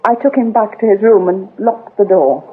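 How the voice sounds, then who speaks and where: Woman playing shaken british vicar's daughter in radio play, halting and subdued, husky, tinny narrow sound. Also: medium pitch, natural voice. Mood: shaken, confessing. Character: guarded vicar's daughter, shaken but confessing. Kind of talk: British radio drama acting. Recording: muffled, phone-like old radio recording.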